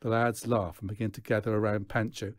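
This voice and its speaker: A man's voice: on the low side, flat delivery, brisk, no echo, clean studio sound. A man reading a children's story aloud as scripted narration. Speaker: audiobook reader.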